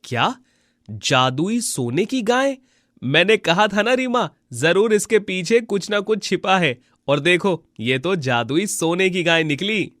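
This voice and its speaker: A man voicing a kind, humble young village husband in an animated moral story, polite and earnest. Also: sits high, unhurried, no room sound, studio quality. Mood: amazed.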